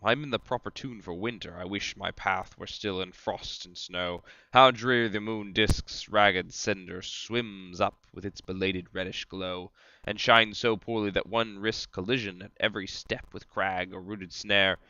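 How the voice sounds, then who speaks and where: A man playing a wry, gloomy wanderer, reading verse in a measured theatrical way on a dry, slightly dull recording. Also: on the low side, steady tone, sharp tone, dry recording. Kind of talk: amateur dramatic reading of verse.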